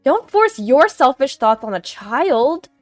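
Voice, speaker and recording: Protective grandmother in audio story, read by a female narrator: sharp, forceful, scolding delivery. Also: high-pitched, sing-song, slow, no room sound, soft background music, voice pushed up, full character voice. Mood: furious.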